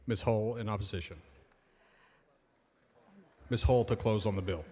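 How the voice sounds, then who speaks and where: A man's voice: fairly low pitch, dark tone. Man presiding over votes in a reverberant chamber. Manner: brisk, routine, formulaic. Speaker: presiding speaker. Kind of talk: formal procedural calls. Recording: reverberant chamber with faint noise.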